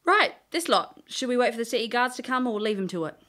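A woman's voice: a little high, lively intonation, quick pace, a bit bright, soft background music. An actress playing a blunt, wisecracking adventurer who is protective of her friends, in a fantasy audio drama. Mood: brisk. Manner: wry, casual, blunt.

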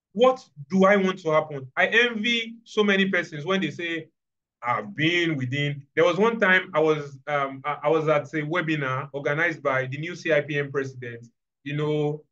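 A man's voice: on the high side, a bit bright. Earnest man on a webinar call. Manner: earnest, repeats himself, many restarts. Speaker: webinar speaker. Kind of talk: webinar talk on HR careers. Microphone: webcam video call. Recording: muffled video call audio.

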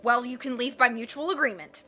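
A woman's voice: a little high, lively intonation, moderate pace, sharp tone, soft background music. A woman voicing a blustering, greedy villain who growls, sneers and roars at everyone. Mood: snarling. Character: greedy, blustering villain man, middle-aged. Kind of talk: audiobook character voice.

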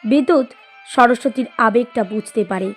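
A woman reading a scripted literary story as narrator, in an expressive storytelling style. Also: on the high side, moderate pace. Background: music.

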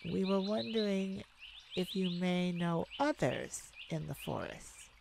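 A woman playing a tenderhearted mage devoted to a werewolf partner in a romantic ASMR roleplay, soft, affectionate and creaky, with pauses. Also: fairly low pitch, noisy background. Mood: cordial, diplomatic. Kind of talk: romantic ASMR roleplay, one-sided dialogue.

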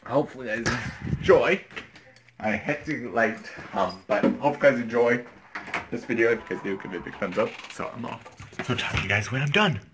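A man in his twenties vlogging casually into a handheld phone, halting and repetitive, often trailing off into vague asides. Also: mid-range voice. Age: 20s. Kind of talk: casual rambling vlog talk. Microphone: phone at arm's length. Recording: faintly noisy handheld phone recording.

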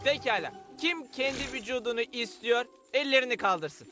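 Very high, plucky cartoon boy. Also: lively intonation, moderate pace, music in the background. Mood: bossy, determined. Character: plucky, cocky young grandson, cartoon boy hero. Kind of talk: animated series dub acting.

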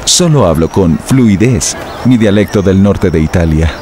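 An actor playing a humble, devoted young village priest, soft-spoken and modest, in a dubbed film. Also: male voice, sits low, animated, measured pace, background noise. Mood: earnest. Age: young adult. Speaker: newly ordained priest. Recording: film dub with loud score.

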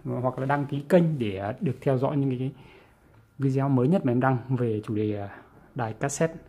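A man with a northern Vietnamese accent giving a casual hands-on tutorial, halting and repeating words as he goes. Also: mid-range voice, warm tone. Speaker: vlogger presenting a how-to. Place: small indoor room with audio gear.

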